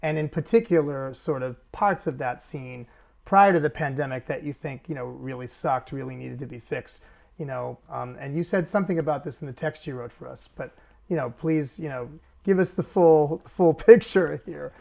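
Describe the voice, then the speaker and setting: A middle-aged man with a gravelly, creaky voice asks rambling questions full of stops and restarts. He talks in a relaxed, conversational way. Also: on the high side, moderate pace. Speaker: interviewer, editor. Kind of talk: casual interview conversation.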